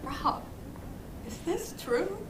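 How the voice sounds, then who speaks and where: A woman's voice: very high voice, expressive, extremely slow, a bit bright, slight background noise, heavily acted. A woman at a comedic table read playing a male movie comedy star, the group's casual, teasing leader. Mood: suspicious. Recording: echoey room, distant mic, music behind.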